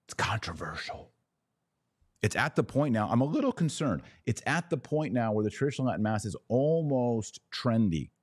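American guy podcaster, low voice, preachy and emphatic, repeats himself for effect, dry close sound. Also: a little mellow, dry recording. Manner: emphatic, preachy, with deliberate pauses and repetition. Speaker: podcast host. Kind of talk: religious commentary, speaking off the cuff to listeners.

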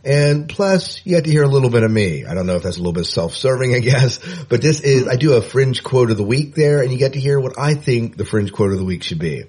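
A man co-hosting a podcast in casual chat, easygoing and self-deprecating, often trailing off quieter. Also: medium pitch, animated, quick pace, few pauses, husky. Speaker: podcast co-host.

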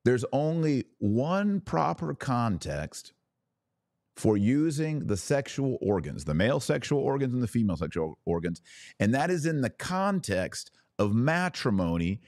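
A man's voice: medium pitch, sing-song, a little slow, a little mellow, no room sound, clean studio sound. A man hosting a podcast, giving opinionated religious commentary in a preaching style, emphatic, with rhetorical questions and sarcastic asides.

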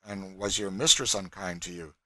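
A measured, formal gentleman senator, acted by a narrator, questioning in a bright male voice. The delivery suits a dramatized novel, recorded dry. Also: sits low, dry recording. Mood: probing.

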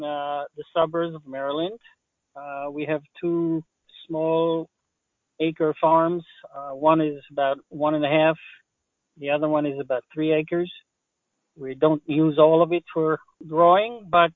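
An older man from Israel with an Israeli accent, speaking as a guest farmer in halting, pause-filled answers. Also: on the high side, no room sound. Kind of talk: interview answers. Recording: tinny phone line.

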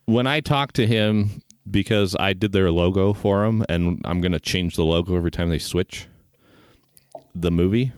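A man co-hosting a podcast, talking casually in an informal, halting way full of filler words. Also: low voice, clean tone, dry recording, clean studio sound. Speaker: podcast co-host. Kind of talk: casual chat.

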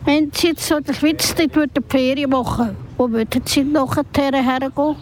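A woman's voice: high voice, fairly even intonation, moderate pace, slight background noise, poor audio. A woman in her late forties with a Swiss German accent, hosting radio with questions and personal stories. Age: late 40s. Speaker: radio reporter and host. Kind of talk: street interview and personal radio storytelling.

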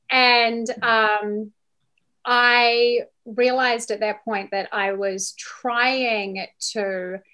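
A woman's voice: medium pitch, fairly bright tone. A woman with a New Zealand accent telling her story casually and candidly as a podcast guest.

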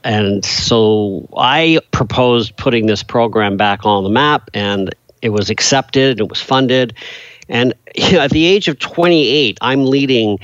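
A man's voice: medium pitch, measured pace, a bit bright, dry recording. A middle-aged man from England, raised in Canada, chatting as an interview guest, rambling with many fillers. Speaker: guest, business coach. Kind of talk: casual interview conversation. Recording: dull lo-fi dry sound.

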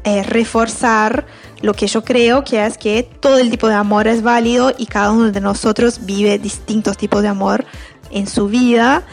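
A woman from Brazil with a Brazilian Portuguese accent, an author talking about her writing in a slow, thoughtful way with frequent pauses and fillers. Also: a little high, steady tone, creaky, soft background music. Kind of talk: interview, self-introduction and reading.

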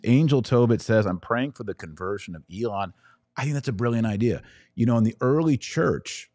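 A man's voice: lowish voice, warm tone, no room sound, clean studio sound. A middle-aged American man hosting a casual livestream, talking emphatically and with opinion in short, often repeated phrases. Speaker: podcast host taking live audience questions. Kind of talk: casual livestream monologue, reading and answering comments.

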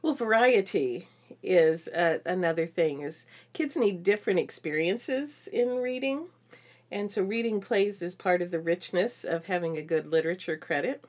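A woman's voice: on the low side, expressive, normal speed. A middle-aged woman chatting informally and agreeably as co-host of an educational podcast. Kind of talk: casual educational podcast chat.

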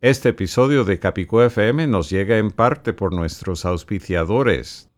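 A man whose first language is English, hosting his own podcast in a measured, announcer-like way with sponsor reads. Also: on the low side. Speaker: podcast host and director.

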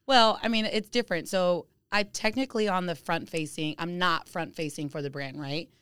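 A woman's voice: fairly low pitch, moderate pace, fairly bright tone, clean tone, no echo, clean studio sound. A woman talking casually as a guest on a podcast. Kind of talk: casual chat.

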